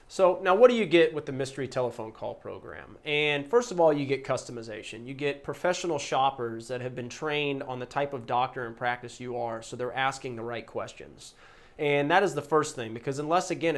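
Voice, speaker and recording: A man presenting a promotional explainer as a trainer, talking in an emphatic, conversational way and posing rhetorical questions. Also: medium pitch. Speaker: host and practice-management trainer pitching a service. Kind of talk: promotional explainer to camera or listener.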